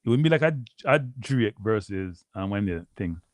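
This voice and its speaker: A Jamaican man hosting a live stream, rambling casually to his viewers and repeating himself, with a deep voice. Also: lively intonation, normal speed, no echo. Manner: rambling, repeats phrases, addresses viewers as people. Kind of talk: casual livestream chat.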